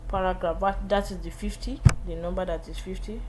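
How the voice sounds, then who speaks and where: Low-pitched woman teaching, noisy recording. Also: steady tone, brisk. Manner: thinks aloud step by step, often checks with viewer. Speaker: coding tutorial instructor. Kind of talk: informal screen-recorded coding tutorial. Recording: clearly noisy background ambience.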